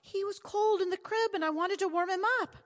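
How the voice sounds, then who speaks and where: A woman voicing a stubborn, secretive six-year-old girl who speaks quietly and earnestly. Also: extremely high pitch, fairly quick, big-room sound, pitched above the performer's own voice.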